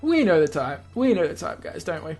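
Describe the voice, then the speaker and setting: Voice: mid-range voice, sing-song, brisk, a little mellow, soft background music. A person reading a visual novel aloud in a let's play, stumbling over lines now and then and adding off-the-cuff joking asides.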